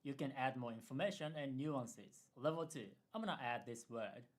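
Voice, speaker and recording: A man teaching language in a scripted video lesson, explaining clearly in an upbeat way with playful asides. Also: mid-range voice, normal speed.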